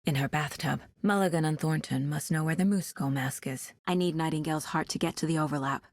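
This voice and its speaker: An actress playing a determined woman detective in a video game, speaking in a serious, focused, terse way with a soft, husky voice. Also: low-pitched, a little fast, no echo, studio quality. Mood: focused, resolute. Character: determined woman detective investigating supernatural cult murders. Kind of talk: video game character lines, investigative inner monologue.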